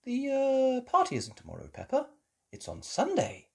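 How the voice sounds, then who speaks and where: A woman voicing a gentle, sensible mother pig who speaks in a soothing, reassuring way. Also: sits high, huge pitch swings, normal speed, vocal fry, husky, pitched above the performer's own voice, full character voice.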